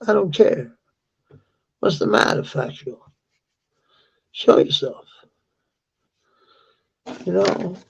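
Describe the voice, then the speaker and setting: Danish guy w a really gravelly husky voice, talks slow, lo-fi sound. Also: high-pitched. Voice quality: gravelly, sore voice. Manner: halting, with many restarts and fillers. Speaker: vlogger. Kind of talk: rambling personal monologue.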